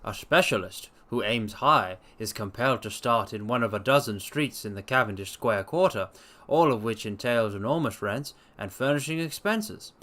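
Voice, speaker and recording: A man's voice: mid-range voice, expressive, bright, forceful tone, pitched below the performer's own voice. Man reading as an earnest, formal Victorian doctor. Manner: formal, measured, precise. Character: earnest, modest young male Victorian doctor telling his history.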